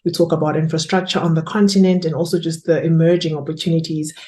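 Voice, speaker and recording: A woman's voice: low voice, little pitch movement, fast, dark tone. A woman with a South African accent talking casually in an interview as a podcast producer and host.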